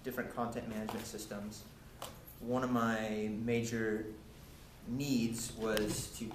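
A man's voice: lowish voice, level tone, measured pace. A young man giving a casual technical talk at a meetup, explaining with many ums and restarts, recorded by a camera across a lecture room. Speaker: presenter. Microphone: far camera. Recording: echoey room, faint hiss, from camera.

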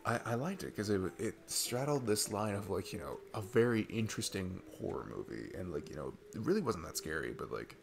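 A man's voice: lowish voice, big pitch swings, fairly quick, vocal fry, few pauses, noisy background. A man playing a sweet, earnest, geeky single dad on a date, rambling and hesitant in an intimate ASMR roleplay. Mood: enthusiastic, rambling.

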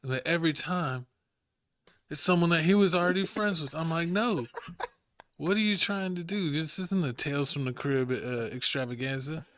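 A young man co-hosting a podcast, teasing his partner with quick comebacks in casual banter. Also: a little high, a bit bright, no echo. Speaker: podcast co-host. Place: small home studio, indoors.

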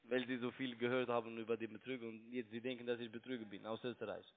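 A man's voice: mid-range voice, little pitch movement, fast talker. A young man with a foreign accent, arguing insistently and repetitively in broken, non-native speech over a telephone. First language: not German. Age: young adult. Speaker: phone scam caller. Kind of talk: argumentative phone conversation. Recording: tinny, narrow-band phone line.

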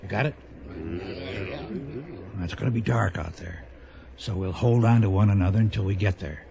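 A man's voice: fairly low pitch, moderate pace, vocal fry, a crowd in the background. A man playing a decisive ship's captain in a radio drama, giving terse, commanding instructions to his crew. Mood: urgent, steady. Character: decisive, gruff ship's captain leading his crew's escape.

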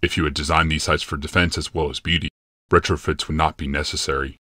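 A man playing a grave, deep-voiced emperor. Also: steady tone, pushed and bright, no echo, clean studio sound, heavily acted. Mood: solemn. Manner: slow, solemn pronouncements. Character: grave, towering male emperor obsessed with struggle.

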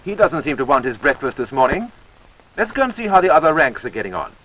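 A man's voice: a little high, big pitch swings, fast talker, a bit bright, poor audio. A British man narrating a wartime-era army training film in a wry, chummy manner, on a 1940s film soundtrack.

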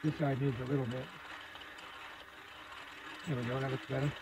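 A man casually narrating a hands-on repair demonstration at his workbench, with an unhurried, folksy manner. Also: mid-range voice, little pitch movement. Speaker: hobbyist host demonstrating radio repair. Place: indoor electronics workbench. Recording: clear background machine whir and noise.

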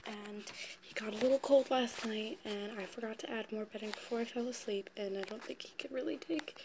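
Young teenage girl vlogging, high voice. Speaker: vlogger and amateur animal rescuer. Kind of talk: casual home pet vlog. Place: indoors in a home living room and bedroom. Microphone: phone, at arm's length or propped nearby. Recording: noisy amateur phone recording.

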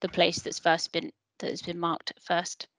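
A woman's voice: lowish voice, monotone, unhurried, creaky, fairly bright tone. A woman hosting an online event on a video call, polite and encouraging, often repeating words as she talks. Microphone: computer mic on a video call.

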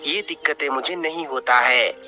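A man's voice: on the high side, moderate pace, loud music behind the voice. A man giving scripted, step-by-step instructional narration as a poultry farmer, explaining methodically and repeating his points.

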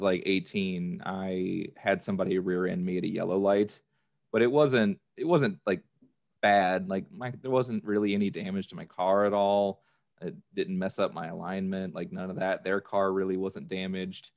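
A man's voice: low-pitched, a little slow, vocal fry, muffled recording. A man in his thirties talking casually as a podcast guest over a video call. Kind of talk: casual chat. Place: plain indoor room, video call. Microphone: desk microphone with headphones on.

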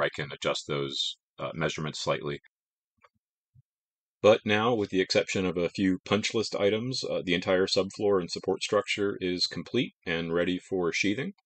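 Very deep-voiced man explaining his build. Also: fairly bright tone, dry recording. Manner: measured, explanatory, frequent "uh" fillers. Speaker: homestead builder. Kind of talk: casual build narration. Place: outdoors on a house frame build site.

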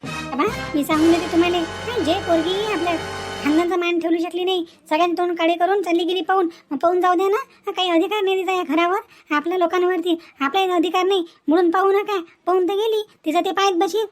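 A woman's voice: very high voice, steady tone, brisk, soft background music. A woman voicing a spiteful, indignant young daughter-in-law in a cartoon family quarrel, scolding and repeating herself with rhetorical questions. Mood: scornful. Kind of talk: Marathi family cartoon dialogue.

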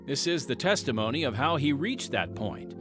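A man's voice: medium pitch, very animated, normal speed. A man hosting an audio drama, introducing it in a polished, theatrical announcer's style. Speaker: host. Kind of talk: scripted radio drama introduction. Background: music.

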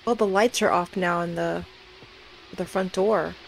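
A woman's voice: on the low side, steady tone, a little slow, vocal fry, faint music behind, poor audio. A woman giving casual, nervous commentary as she plays a horror game, thinking aloud. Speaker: gaming video host.

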